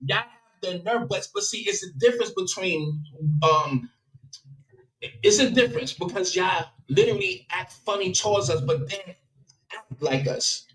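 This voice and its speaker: A confrontational man with a notably high voice, arguing hard on a live stream. He hammers his points through repetition and sharp bursts. The sound is roomy and echoey. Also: expressive, moderate pace. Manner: confrontational, repeats himself, rising in bursts. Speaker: livestream host. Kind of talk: heated debate on a live stream.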